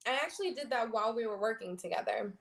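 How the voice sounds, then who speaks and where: A young woman from Florida and the Dominican Republic, a model and singer, chatting casually as an interview guest, laughing often and pausing on "um". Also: on the high side, lively intonation. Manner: laughing often, hesitant with many ums.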